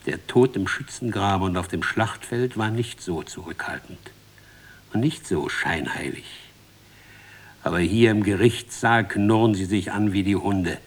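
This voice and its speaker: Man, literary narrator, slightly low voice, ironic rhetorical scripted delivery. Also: unhurried, slightly rough.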